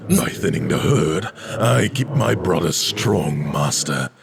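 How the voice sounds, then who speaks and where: Proud demonic servant-warrior, fantasy game. Very gravelly, low, solemn, archaic phrasing. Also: male voice, animated, slow pace, heavily acted. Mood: proud, devoted. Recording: noisy game audio, ambient sound behind.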